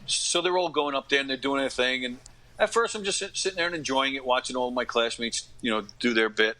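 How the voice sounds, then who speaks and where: Bright-voiced middle-aged New man telling stories. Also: mid-range voice, a little fast, poor audio. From New York area, USA. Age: late 40s to 50s. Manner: animated retelling with acted-out quotes and frequent throat-clearing. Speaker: podcast guest, actor and stand-up comic. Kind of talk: casual anecdotal storytelling.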